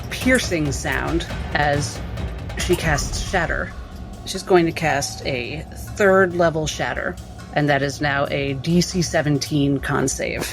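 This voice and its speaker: Woman player narrating her rpg turn, really low voice, takes her time. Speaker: tabletop game player. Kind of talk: narrating her character's actions in a tabletop game.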